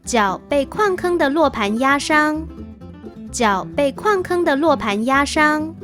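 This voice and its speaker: A woman reads out scripted announcements. Her voice is high. Light children's-style music plays behind her. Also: lively intonation, takes it slowly, a bit bright. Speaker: jingle and quiz question announcer.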